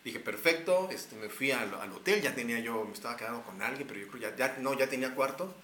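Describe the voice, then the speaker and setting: A man's voice: mid-range voice, animated, fast, pushed and bright, reverberant, a little hiss or hum. A man with a Mexican accent, telling a long story casually with slang, fillers and restarts. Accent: Mexican Spanish. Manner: rambling, many fillers and restarts.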